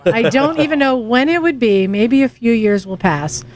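A woman co-hosting a casual motorcycle podcast, playfully reading a listener email aloud in halting bursts. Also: a little high, darkish tone. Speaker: podcast co-host. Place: garage with a fan running.

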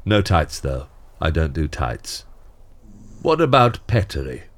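A man's voice: rumbling low, very animated, slow pace, heavily acted. A man playing a weary, sentimental Santa Claus with a grumpy, mischievous streak and a wistful, dry manner in an audio drama. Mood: dryly mischievous.

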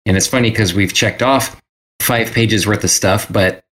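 A man's voice: fairly low pitch, normal speed, a bit bright. A man telling his story casually as a guest in an interview. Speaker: podcast guest, graphic designer.

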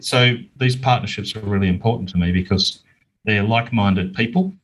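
Middle aged aussie bloke, low husky voice, chatting earnestly in an interview. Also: male voice, moderate pace, poor audio. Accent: Australian. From Australia. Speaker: interview guest, small business owner. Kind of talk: conversational interview answers.

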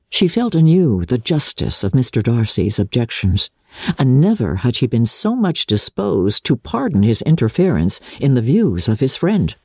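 A woman narrates a classic novel with a theatrical, measured delivery. Her pitch swings widely and dramatically, and the tone is dark and mellow. The sound is dry and close. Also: slightly rough, no echo. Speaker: audiobook narrator. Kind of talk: dramatic scripted literary narration.

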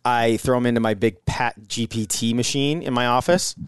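A man's voice: medium pitch, fairly even intonation, normal speed, clean tone, no echo, clean studio sound. A man co-hosting a podcast in casual chat, joking and often stumbling over his words. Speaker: podcast co-host.

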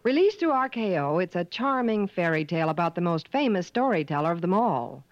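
A polished woman radio reporter of the 1950s, gushing through scripted copy with animated intonation. The sound is dry and somewhat muffled, like an old broadcast. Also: lowish voice, brisk, dry recording.

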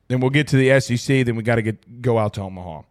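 A hoarse man hosting a casual sports talk show, excitable and emphatic, repeating lines for effect as he reads viewer comments. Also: mid-range voice, quick pace.

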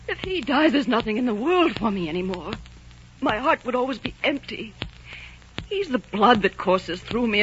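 A woman's voice: a little high, a bit bright. A woman playing a passionate young innkeeper's daughter in love, fervent and melodramatic in 1940s radio drama style. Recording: muffled, noisy 1940s radio recording.

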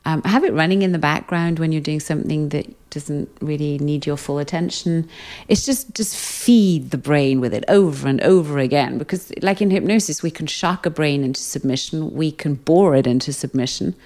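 A British woman with a low-pitched voice speaks as a guest in an interview. She is a hypnotherapist and talks in a calm, soothing, measured way. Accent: British English. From Britain. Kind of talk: interview conversation.

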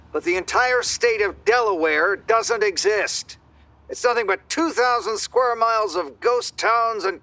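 A man's voice: high voice, bright, forceful tone, full character voice. A man acting as a fervent, alarmist conspiracy theorist in an audio drama, speaking emphatically as if addressing the public. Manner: emphatic, declamatory. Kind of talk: audio drama acting, urgent speech to listeners.

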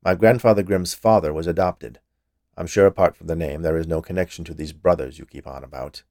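A fussy little male health inspector from an audiobook, acted out by a man. He speaks in a lowish voice, recorded close and dry. He is stern, officious and easily annoyed, giving curt answers. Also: fairly quick, dark tone, no echo. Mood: curt, irritated. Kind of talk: audiobook character voice.

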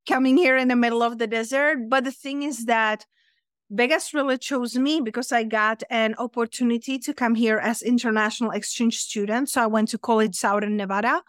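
A woman's voice: on the high side, fairly even intonation, a little fast, dry recording, studio quality. Chatty Czech-accented woman. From Czech Republic. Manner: chatty, many "like" fillers. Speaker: guest. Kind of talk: casual interview chat.

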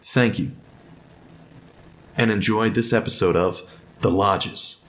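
A man gives a podcast introduction in a low-to-middle, gravelly voice with plenty of fry. He is informal and encouraging as he addresses listeners. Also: slow, fairly bright tone. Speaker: podcast creator and host.

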